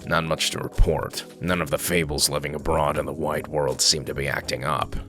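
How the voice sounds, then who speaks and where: A man playing a gruff, sardonic sheriff with a dry, grumbling manner in a comic dub. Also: rumbling low, flat delivery, moderate pace, music in the background. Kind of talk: comic dub character acting.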